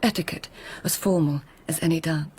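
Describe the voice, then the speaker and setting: An actress playing a proud, witty lady-in-waiting of tenth-century Japan in a radio drama, hushed and measured, with formal poetic phrasing. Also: sits low, steady tone. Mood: wry, poetic. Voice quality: breathy, hushed. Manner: measured, courtly, pointed.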